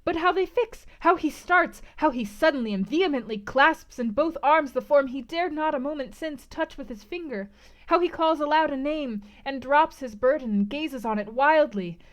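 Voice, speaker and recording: A woman's voice: high voice, normal speed. A woman reading literary prose aloud as a book club host, with theatrical, emphatic phrasing. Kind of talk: dramatic audiobook-style literary narration.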